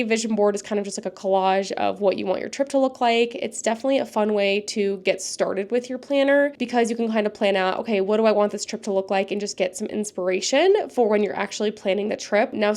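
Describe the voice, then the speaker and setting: A woman's voice: medium pitch, darkish tone. A young woman vlogging casually to camera, chatty and upbeat, her voice a little stuffed up from a cold. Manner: chatty and upbeat, says "kind of" often. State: stuffed-up nose, recovering from a cold. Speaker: lifestyle vlogger. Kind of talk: casual vlog talk to camera.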